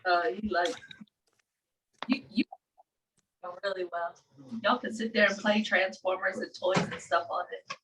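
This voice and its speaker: A woman's voice: mid-range voice, steady tone, unhurried, long pauses, pushed and bright. A woman chatting casually and teasingly on a video call, picked up by a distant microphone. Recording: distant microphone, faint background noise.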